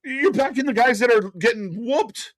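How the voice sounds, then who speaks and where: A man's voice: extremely high pitch, normal speed, dry recording. A man co-hosting a live wrestling watch-along stream, wisecracking, laughing often and swearing. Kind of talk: casual live-stream commentary.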